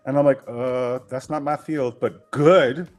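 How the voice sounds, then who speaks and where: A man's voice: medium pitch, unhurried. Middle-aged guy from queens chatting loose and candid, laughs a lot, faint music behind. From Queens, New York. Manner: relaxed, candid and laughing often. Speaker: guest. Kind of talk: casual interview chat.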